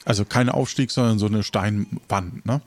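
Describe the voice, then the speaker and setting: A man's voice: on the low side, steady tone, normal speed, no room sound. A man improvising tabletop game narration as game master, searching for his words as he describes scenes.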